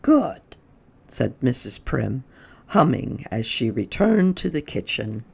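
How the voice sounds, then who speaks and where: A woman reading a children's story aloud as a storytime host, with dramatic emphasis and drawn-out words. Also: a bass voice, big pitch swings, vocal fry, a little mellow, slightly rough. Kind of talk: expressive read-aloud storytelling.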